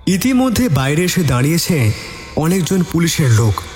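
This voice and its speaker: A higher-pitched male narrator tells a suspenseful detective tale in a dramatic first-person style. His voice carries a long, echoing reverb, with music playing underneath. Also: sing-song, normal speed, a little mellow. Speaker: story narrator.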